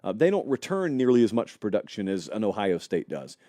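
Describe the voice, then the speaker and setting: A man hosts a live sports talk show, his pitch swinging up and down as he muses and argues points with viewers. His voice is warm and mellow, recorded dry and close in a studio. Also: a little fast, no room sound. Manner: animated, conversational, speculative, addresses live chat. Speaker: college football show host.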